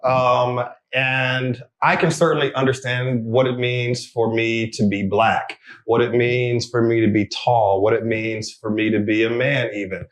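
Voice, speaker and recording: A man hosts a panel conversation in a measured, earnest way. His voice sits at a middle pitch. The room around him gives it a noticeable echo. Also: level tone. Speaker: host. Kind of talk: scripted intro, then moderating a panel. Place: panel discussion setting. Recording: roomy echo.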